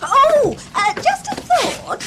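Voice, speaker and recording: An evasive English landlady in a British radio play. Her voice sits very high and swings dramatically in a genteel sing-song, with faint noise behind it. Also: very animated, slow pace, bright, forceful tone, exaggerated voice. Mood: politely evasive. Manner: calls everyone dear.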